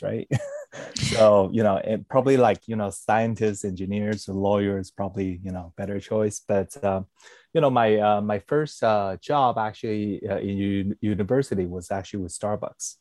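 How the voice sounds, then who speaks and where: Hesitant man with a low voice. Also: mellow, no echo. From born in Taiwan, raised in Canada. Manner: hesitant, many fillers and restarts. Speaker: podcast guest, food company executive. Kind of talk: casual interview answers.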